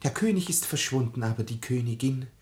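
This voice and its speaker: An actor playing a smug, lovesick small-town suitor, declaiming flowery phrases in theatrical radio comedy style. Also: male voice, medium pitch, animated, brisk, darkish tone, close to an everyday voice. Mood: adoring, pompous.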